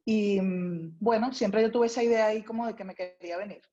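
A woman's voice: mid-range voice, brisk, a crowd in the background. Chatty Venezuelan woman, muffled sound. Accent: Venezuelan Spanish. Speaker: guest, food writer. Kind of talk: casual interview chat.

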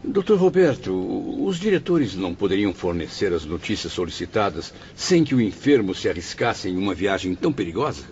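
An actor playing an earnest spirit patient in a radio drama, with a formal, emphatic delivery. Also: male voice, low-pitched, big pitch swings, brisk, few pauses, a little mellow, muffled recording, a little hiss or hum. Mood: anxious questioning. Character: earnest, indignant male spirit patient in infirmary.

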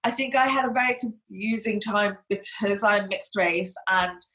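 Woman guest chatting away, says like a lot, middle pitch. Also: moderate pace, fairly bright tone. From Hong Kong. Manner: chatty, frequent "like" fillers. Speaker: guest, body confidence coach. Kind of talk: casual interview talk.

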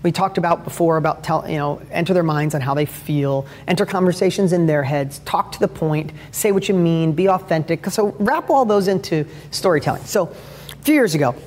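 A man with a high-pitched voice gives a motivational talk as a father and host, telling stories energetically and rambling with restarts. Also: darkish tone. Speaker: host and father giving advice.

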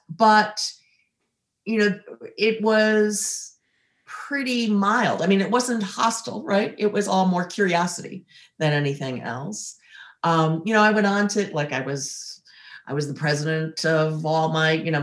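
A woman's voice: low voice, a bit bright, reverberant. A woman in her sixties from Ohio, a former litigator, reflecting on her past in an interview, with many fillers and restarts. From Ohio, USA. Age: 60s.

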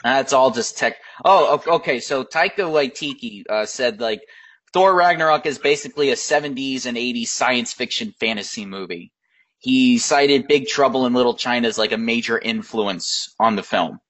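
Male co-host, mid-pitch, loose rambling delivery, casual film talk. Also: moderate pace, a bit bright. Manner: rambling, many fillers and restarts. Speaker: podcast co-host. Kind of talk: casual chat about films.

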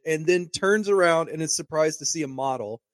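A man's voice: fairly high pitch, fairly quick, no echo. A man co-hosting a podcast, recapping a TV episode in a plain, matter-of-fact way. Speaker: podcast co-host. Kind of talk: casual chat, recapping plot.

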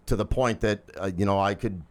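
A man with a deep voice in casual conversation, speaking haltingly and filling pauses with "you know what I mean". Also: fairly even intonation.

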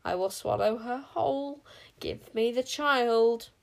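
A woman voicing a monstrous male bird-like witch king in an audiobook, harsh and guttural, speaking slowly with crooning menace. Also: fairly high pitch, vocal fry, pitched above the performer's own voice. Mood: hungry, demanding. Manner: slow, drawn-out delivery.

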